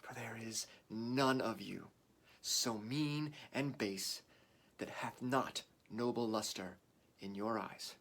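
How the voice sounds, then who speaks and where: An actor playing a warrior English king rousing his troops in a declamatory, deliberate Shakespearean stage monologue. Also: on the low side, full character voice. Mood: solemn, rousing. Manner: declamatory, deliberate, swinging from hushed to shouted.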